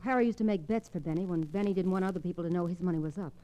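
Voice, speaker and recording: A woman playing a guarded young widow, a femme fatale in a 1940s radio mystery, controlled and measured in her delivery. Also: on the low side, warm tone, close to an everyday voice. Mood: subdued, anxious.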